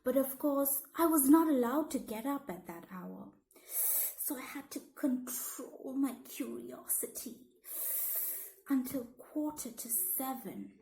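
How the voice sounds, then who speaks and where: Woman as eager, high-pitched young schoolgirl diarist. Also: expressive, measured pace, mellow, rough recording. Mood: excited, delighted. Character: eager, thoughtful thirteen-year-old schoolgirl writing her diary. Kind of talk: dramatised diary read-aloud.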